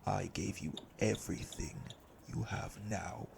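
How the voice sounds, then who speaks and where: An actor playing a cold, cruel, domineering vampire elder brother in an audio drama, speaking deliberately with gravelly menace. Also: male voice, sits low, fairly even intonation, background noise, exaggerated voice. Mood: angry, domineering. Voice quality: gravelly, creaky. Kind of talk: dramatic audio roleplay acting.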